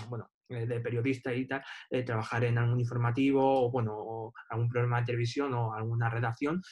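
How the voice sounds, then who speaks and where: A young man interviewing over a video call, asking questions in a hesitant, halting way with many fillers. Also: medium pitch, a little mellow. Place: dim indoor room. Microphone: video call webcam.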